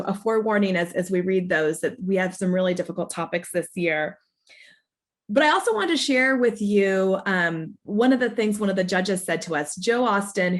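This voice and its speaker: A woman professor presenting at an online awards ceremony, speaking informally in an earnest, explanatory way. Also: mid-range voice. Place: online video call.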